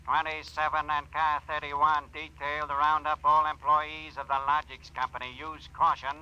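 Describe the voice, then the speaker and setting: Monotone, sharp police dispatcher. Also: male voice, on the high side, moderate pace, clean tone. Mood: official, urgent. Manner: flat, clipped official announcements. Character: terse, businesslike police radio dispatcher. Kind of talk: 1950s radio drama acting. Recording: dull vintage police radio sound.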